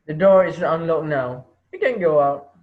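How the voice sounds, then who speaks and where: Young man acting calm menacing male teacher, dull recording. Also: on the high side, moderate pace, natural voice. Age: young adult. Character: male art teacher turning calm hostage-taker.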